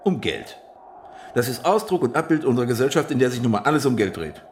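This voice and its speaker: An actor playing a smug, wealthy boss in a radio crime play, lecturing pompously and with amused condescension. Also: male voice, medium pitch, very animated, quick pace, slight background noise, lightly acted. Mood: smug philosophizing.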